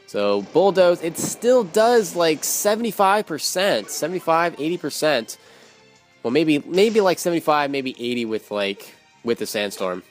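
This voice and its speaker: A young man casually commenting on his own gameplay, rambling with self-mocking asides, his voice growing tired and hoarse from a long day of recording. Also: a little high, sing-song, a little slow. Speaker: let's play commentator. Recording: faint game music behind.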